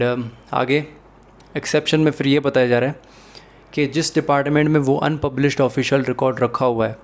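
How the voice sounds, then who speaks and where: A man's voice: mid-range voice, a little mellow. A man with a Pakistani accent giving a methodical explanatory lecture, mixing in English legal terms and setting out points one by one. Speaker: law lecturer.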